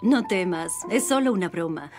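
An actress dubbing a young, defiant harem woman with a husky, teasing voice. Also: fairly low pitch, normal speed, soft background music, lightly acted. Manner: teasing, lively. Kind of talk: Spanish dub of a historical series.

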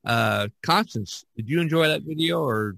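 A man's voice: a little high, lively intonation, normal speed, vocal fry, bright, forceful tone, no room sound. A man hosting a podcast in a casual, chatty style. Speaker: podcast host.

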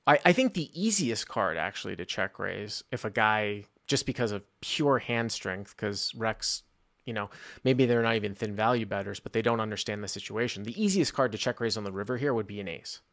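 A man in his thirties hosting a poker call-in show, talking analytically and informally into a close studio mic, thinking out loud. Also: on the low side, lively intonation, brisk. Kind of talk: casual analytical poker discussion. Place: small indoor studio. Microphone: close desktop studio microphone, headset on.

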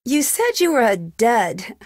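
An inquisitive young female detective from a video game, with a fairly high voice and very lively intonation. She puts her questions and replies crisply, with a dry wit, in a close, dry recording. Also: measured pace, no room sound, full character voice. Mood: curious, friendly. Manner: inquisitive, crisp, lightly wry. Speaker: video game lead character.

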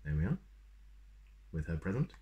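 Expressive man with a very low voice. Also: normal speed, lo-fi sound, slight background noise. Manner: expressive, playful. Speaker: storyteller reading a children's picture book. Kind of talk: animated read-aloud with asides to young listeners. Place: small plain room indoors.

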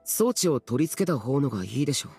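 An actor playing a stern, reserved, youthful immortal warrior in an anime game, with terse, archaic and formal speech. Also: male voice, medium pitch, big pitch swings, fast talker, vocal fry, warm tone, slightly rough, faint music behind, full character voice. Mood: deferential, hesitant.

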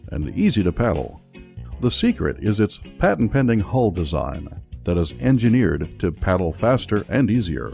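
Deep gravelly guy selling kayaks, lively swoopy delivery, music under it. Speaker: ad voice-over. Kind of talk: scripted ad narration.

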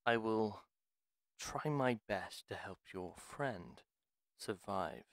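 A man playing a gruff, wary retired pharmacist in a fantasy audio roleplay, speaking in a formal, deliberate, theatrical way. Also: on the low side, lively intonation, no room sound. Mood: grave, storytelling. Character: gruff, reluctant retired male pharmacist, courteous but wary. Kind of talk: dramatic audio roleplay monologue.

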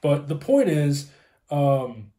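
A man's voice: on the high side, sing-song, slow pace, mellow. A man in his thirties chatting casually and informatively as he explains things as a co-host, recorded in a room at home. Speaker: co-host explaining ham radio. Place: small room at home, indoors. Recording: roomy, echoey home room.

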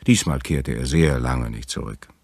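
A man with a very deep, gravelly voice narrating a fairy tale as a storyteller. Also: moderate pace, a little mellow, no echo, clean studio sound.

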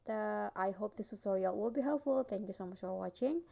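Female tutorial host, casual step-by-step narration, dark and relaxed tone. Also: medium pitch, fairly quick, creaky.

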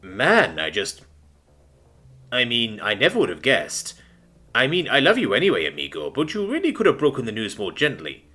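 A man's voice: medium pitch, wildly swooping pitch, normal speed, sharp tone, heavily acted. A man voicing a middle-aged father with a bad leg, teasing in a dry, wry way.

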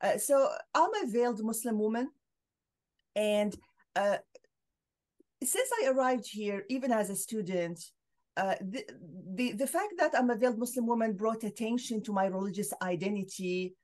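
A woman's voice: medium pitch, normal speed, a little mellow. A middle-aged woman from Syria, a scholar speaking as a guest on a video call, explaining her views earnestly with frequent fillers. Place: home room with bookshelves.

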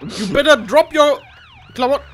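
A young man bantering playfully and excitably while playing a video game, dropping in English phrases. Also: very high-pitched, moderate pace. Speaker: let's player. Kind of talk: casual gaming banter during co-op play. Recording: noisy game audio with police sirens. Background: game sounds, sirens.

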